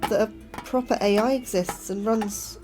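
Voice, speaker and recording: A woman's voice: medium pitch, fairly even intonation, moderate pace, over music, close to an everyday voice. An actress playing an anxious, sceptical woman astrobiologist in a sci-fi horror audio drama, hesitant and doubtful. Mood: sceptical, uneasy.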